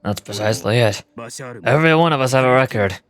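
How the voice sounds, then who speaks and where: A man voicing a grave, loyal desert gang member in a game, explaining things in a measured amateur read. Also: medium pitch, animated, lightly acted. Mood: grave, explanatory. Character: grave, loyal male desert gang member recounting his boss's sacrifice. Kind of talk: amateur voice acting of game dialogue.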